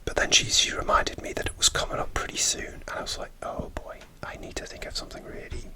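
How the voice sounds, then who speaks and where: A man whispering close to the mic, telling a rambling story for an ASMR video with many pauses and fillers. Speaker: ASMR creator.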